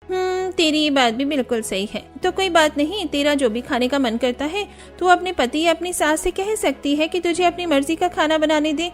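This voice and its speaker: A woman's voice: sits high, moderate pace, few pauses, soft background music, lightly acted. A woman playing a chatty, emphatic young married neighbor in a cartoon story. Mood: advising. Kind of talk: Hindi cartoon story dialogue.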